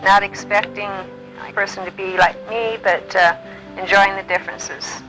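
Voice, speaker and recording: Bright-voiced elderly woman reminiscing gently. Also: mid-range voice, steady tone, soft background music, low-quality recording. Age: older. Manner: gentle, unhurried storytelling with many ums and uhs. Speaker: mother recalling her life for family. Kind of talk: reminiscing, answering memory prompts.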